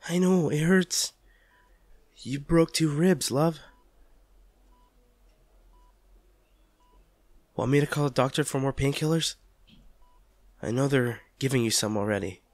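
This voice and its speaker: A man playing an anguished, tender lover comforting an injured partner in a hospital audio roleplay, speaking haltingly and with emotion. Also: medium pitch, no room sound, close to an everyday voice. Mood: gentle, sorrowful. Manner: halting, stammering, emotional delivery. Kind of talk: audio roleplay drama, one-sided dialogue.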